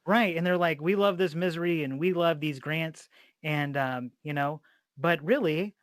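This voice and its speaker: A man's voice: on the high side, normal speed, no room sound, studio quality. A man hosting a podcast in a casual, informal way, with plenty of swearing. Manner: informal, profanity-laced. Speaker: podcast host and interviewer.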